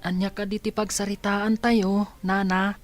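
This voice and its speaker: A woman's voice: mid-range voice, little pitch movement, measured pace, soft background music, natural voice. Woman playing a timid, plaintive orphaned child. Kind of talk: Ilocano radio drama acting.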